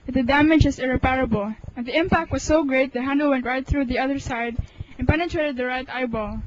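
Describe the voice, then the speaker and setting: A woman's voice: sits high, brisk, close to an everyday voice. A young woman playing a brusque, evasive hospital doctor in a student radio drama. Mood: curt, matter-of-fact. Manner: brusque, dismissive. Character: curt, evasive, irritable hospital eye doctor. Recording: muffled amateur recording, faint noise.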